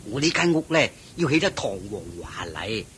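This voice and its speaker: Fussy, proud old rich patriarch, animated voice. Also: male voice, normal speed. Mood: proud, jovial. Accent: Hong Kong Cantonese. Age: older. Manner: fretful, boastful, sighing and chuckling. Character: fussy, proud, wealthy old master of the household. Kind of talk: Cantonese Buddhist radio drama acting. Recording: noisy old radio drama, low rumble.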